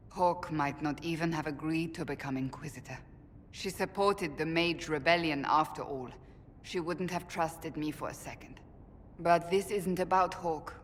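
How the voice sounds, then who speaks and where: A woman acting a stern warrior woman from a fantasy game, grave and burdened with regret. Her voice sits on the low side and rings in a long reverb over a noisy rumble. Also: natural voice. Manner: earnest, grave, self-reproaching. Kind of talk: dramatic video game cutscene acting.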